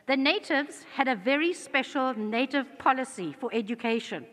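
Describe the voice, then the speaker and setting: A woman's voice: high-pitched, animated, normal speed, fairly bright tone. A woman from South Africa with a South African accent, giving an earnest conference presentation in a large hall. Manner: earnest, fluent, with frequent restarts. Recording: long hall reverb.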